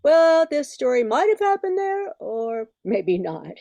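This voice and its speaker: A woman's voice: high-pitched, big pitch swings, measured pace, creaky, dark tone, no echo. An older woman reading scripted narration as the announcer of a radio show.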